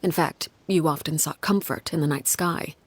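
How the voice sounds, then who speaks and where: A woman voicing a stern, solemn leader in a video game, speaking in a grave, measured, hushed way. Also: deep voice, breathy edge, dry recording. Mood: solemn, subdued. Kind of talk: dramatic video game acting.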